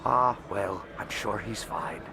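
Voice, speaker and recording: An actor voicing a courteous, worldly alien merchant in a video game, speaking formally and musingly. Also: male voice, on the low side, slow, gravelly, a crowd in the background.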